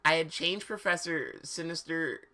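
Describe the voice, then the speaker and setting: Man, higher-pitched, sharp bright tone, live dramatic reading, mock-serious, stumbling, amused asides. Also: moderate pace. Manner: stumbles over misspellings, reads with mock seriousness. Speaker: streamer reading fan fiction aloud.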